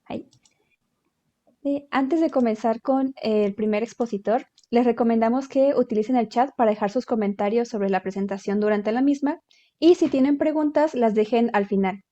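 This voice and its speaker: A woman's voice: a little high, normal speed, mellow, dull audio. A woman hosting a webinar over a video call, giving plain instructions to attendees. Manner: plain, instructive, checks in with listeners. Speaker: webinar host.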